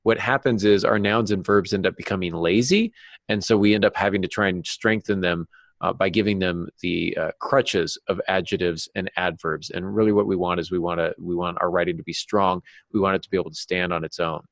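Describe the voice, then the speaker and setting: A man speaking as a guest novelist in a podcast discussion, explaining craft deliberately and with examples. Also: low voice, fairly even intonation, no room sound.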